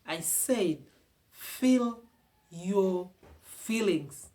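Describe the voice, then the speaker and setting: A woman's voice: mid-range voice, expressive, very slow, mellow. A woman with a Ugandan accent giving earnest heart-to-heart advice to her viewers, often repeating phrases for emphasis. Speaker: vlogger giving relationship advice. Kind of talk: casual advice talk to camera.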